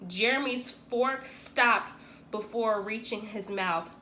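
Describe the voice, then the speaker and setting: A woman reading a children's picture book aloud in an expressive, theatrical way, like a teacher acting it out for young pupils. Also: a little high, slow, slight background noise. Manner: theatrical, acts out the dialogue.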